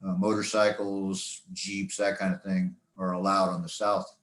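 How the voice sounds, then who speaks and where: Older American male, low register, informal rambling club presenter, halting delivery, echoey sound. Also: level tone, a bit bright. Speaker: speaker presenting to a radio club. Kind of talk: informal slideshow talk, reminiscing.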